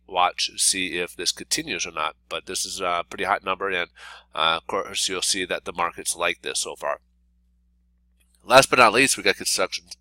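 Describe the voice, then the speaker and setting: Low bright-toned congested man. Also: no echo. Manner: casual, rambling, many fillers and restarts. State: congested from a cold. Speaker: mortgage planner giving a daily market report. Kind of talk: unscripted solo market commentary over slides.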